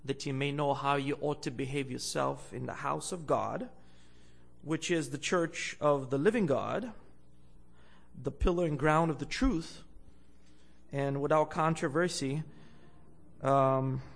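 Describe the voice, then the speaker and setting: A young man from Bulgaria, a guest preacher, gives a sermon in a church, halting with many fillers and growing louder when he reads scripture. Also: fairly high pitch, gravelly.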